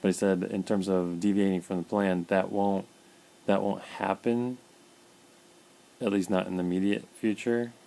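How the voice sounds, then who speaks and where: A man talking casually in a personal video diary, halting with fillers and repeated words, his mouth dry. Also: deep voice, level tone, vocal fry, dark tone. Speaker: vlogger documenting his illness.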